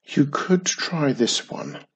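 A storyteller voicing a wary little old man who tells spooky tales slowly and with emphasis. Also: medium pitch, sing-song, slightly rough, muffled recording, full character voice. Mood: dramatic. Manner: slow, emphatic, dramatic. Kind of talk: storybook reading.

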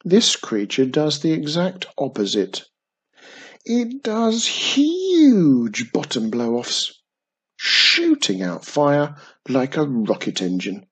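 A storyteller voicing a wary little old man who tells spooky tales slowly and with emphasis. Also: medium pitch, huge pitch swings, fairly bright tone, breathy edge, full character voice. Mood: dramatic. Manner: slow, emphatic, dramatic. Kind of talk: storybook reading.

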